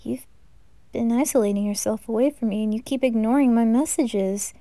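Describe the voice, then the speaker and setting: A woman's voice: fairly high pitch, warm tone, dry recording, natural voice. A woman voicing a shy, earnest teenage singer boy with a hesitant manner in a hobby anime audio drama. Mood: hesitant, confiding. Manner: hesitant, halting.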